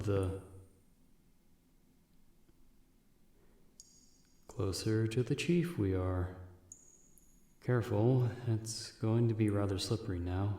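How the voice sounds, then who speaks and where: A man playing a calm, seasoned vampire monster hunter in an ASMR audio roleplay, guiding a novice in a measured, instructive, reassuring way. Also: on the low side. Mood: grim, firm. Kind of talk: ASMR audio roleplay, speaking directly to the listener. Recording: echoey room with faint hiss.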